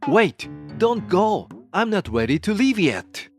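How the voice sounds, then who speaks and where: A man's voice: sits high, sing-song, slow pace, soft background music, exaggerated voice. A man playing a panicky, defensive, dishonest husband in a manga dub, whining and pleading. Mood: desperate.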